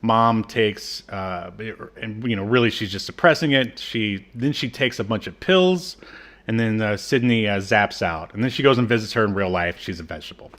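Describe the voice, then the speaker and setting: A man's voice: on the low side, animated, normal speed, a bit bright. A man hosting a podcast, casually recapping an old TV show in a jokey, irreverent way. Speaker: podcast host.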